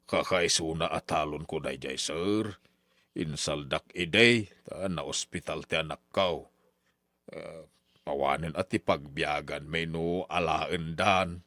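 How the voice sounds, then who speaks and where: A man's voice: very deep voice, slow, pushed and bright, no room sound, studio quality, full character voice. An actor with a Filipino accent playing a humble older father in a radio drama, speaking in a subdued, hesitant way. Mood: humble, pleading. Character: humble older father, ambitious yet deferential. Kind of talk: melodramatic radio drama acting.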